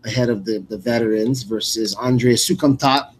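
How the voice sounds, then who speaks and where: A man's voice: medium pitch, moderate pace, slight background noise, poor audio. Male radio host for live fight play-by-play, informal and slangy, rambling between announcements. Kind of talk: loose, casual live fight commentary.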